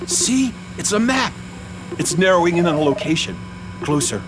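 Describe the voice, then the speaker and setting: Pompous, excitable mad-inventor dad, swooping voice. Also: male voice, high-pitched, normal speed, noisy background. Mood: eager, unbothered. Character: eccentric grandiose inventor dad, giddily obsessive. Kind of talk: scripted audio comedy drama acting.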